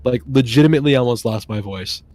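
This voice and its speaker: A man's voice: medium pitch, fast talker, a little mellow. A man chatting casually on a stream, rambling enthusiastically and often trailing off and restarting.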